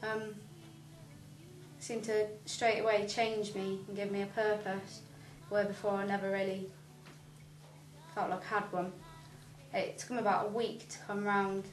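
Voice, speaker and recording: A woman's voice: mid-range voice, level tone, a little slow. A nineteen-year-old woman, a young mother, giving an earnest, reflective personal testimony. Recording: roomy, distant, noisy.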